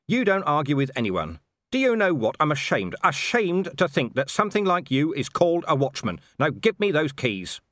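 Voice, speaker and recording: A man's voice: fairly high pitch, big pitch swings, moderate pace, fairly bright tone, dry recording, clean studio sound, pitched above the performer's own voice. A man playing a grim, middle-aged watch commander with a clipped, sarcastic, menacing way of talking, in a storybook reading. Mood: cold fury.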